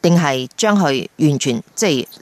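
A woman's voice: low voice, animated, measured pace. A woman, interviewing a guest conversationally on the radio.

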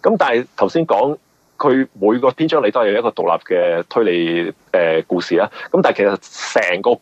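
A low-voiced man with a Hong Kong accent, speaking as an interview guest. His voice is husky and rough, and he explains things conversationally, stopping and repeating words as he goes. The sound is dull and lo-fi. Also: fairly quick, dry recording. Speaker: mystery novelist being interviewed.

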